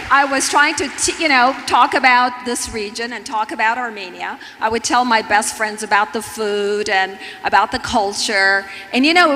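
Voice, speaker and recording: A woman's voice: high voice, bright, forceful tone. A woman from Istanbul, Turkey, moderating a live panel on a conference stage. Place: large conference hall stage. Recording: echoey large hall, background noise.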